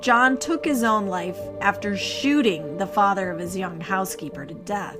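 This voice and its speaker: Woman narrating a ghost story over music. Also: medium pitch. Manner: theatrical, measured storytelling with dramatic pauses. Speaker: podcast host and storyteller.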